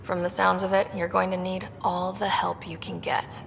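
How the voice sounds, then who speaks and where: An actress playing a plucky, teasing young woman with a creaky voice in a sci-fi video game. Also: fairly low pitch, monotone, moderate pace. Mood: wry. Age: young adult. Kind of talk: naturalistic video game cutscene acting. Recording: echoey reverb with clear background noise.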